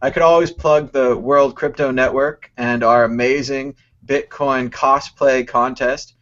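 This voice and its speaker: Male host of a casual web talk show, jokey, mid pitch, slightly muffled stream audio. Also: steady tone, unhurried, a bit bright. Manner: jokey, laughs often. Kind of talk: casual live-stream chat.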